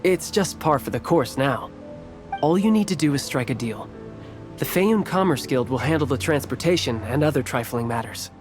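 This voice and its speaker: An actor playing a composed, polite guild agent in a video game. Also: male voice, a little high, natural voice. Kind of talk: video game character acting.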